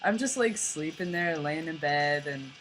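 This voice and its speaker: A young man with a light, fairly high voice talking in a rambling, casual vlog, full of "like" and "you know". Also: lively intonation, unhurried, a bit bright, faint music behind, poor audio. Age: young adult. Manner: rambling, filler-heavy, lots of "like" and "you know". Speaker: vlogger.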